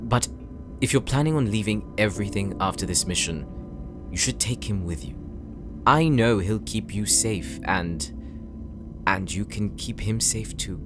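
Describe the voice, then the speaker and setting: An actor playing an earnest, insecure young knight in an audio roleplay, speaking gently and hesitantly, as if confiding in his sister. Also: fairly low pitch, measured pace, over music, close to an everyday voice. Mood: tender, hesitant. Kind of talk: audio roleplay drama, intimate monologue.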